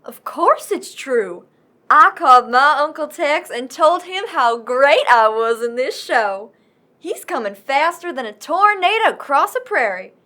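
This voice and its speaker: High, gushing Texas belle. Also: female voice, animated, a little slow, pushed and bright, heavily acted. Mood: smug, perky. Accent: Texan. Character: sweet, boastful young Texas belle, relentlessly folksy. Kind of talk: radio play comedy acting.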